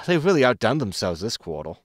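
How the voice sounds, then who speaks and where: A man's voice: mid-range voice, big pitch swings, normal speed, no room sound, soft background music. A man playing a wry, exasperated spaceship captain in a sci-fi comedy audio drama, sarcastic and grumbling as he gives orders.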